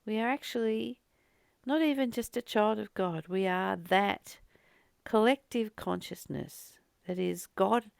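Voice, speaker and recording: A woman giving a contemplative spiritual reading with informal personal commentary, pausing and repeating phrases as she goes. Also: medium pitch, vocal fry, smooth, no echo, studio quality. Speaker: host of a spiritual reading and study series.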